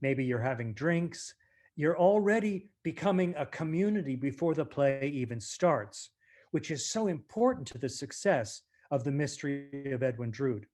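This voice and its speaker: A man's voice: medium pitch, lively intonation, moderate pace, darkish tone. A male stage actor chatting reflectively as an interview guest, articulate and emphatic.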